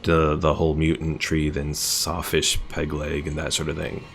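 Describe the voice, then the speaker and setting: A man's voice: extremely low pitch, monotone, moderate pace, vocal fry, fairly bright tone, a little hiss or hum. A man streaming a game with casual live commentary, thinking aloud as he plays. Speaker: gaming streamer.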